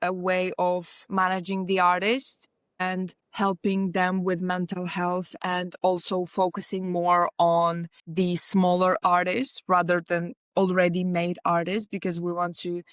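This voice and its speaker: A woman's voice: lowish voice, monotone, measured pace, clear, resonant tone, no room sound, clean studio sound. A woman, earnestly answering podcast questions as an artist manager, stringing ideas together with many likes. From Lithuania. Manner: earnest, talks in long lists with many likes.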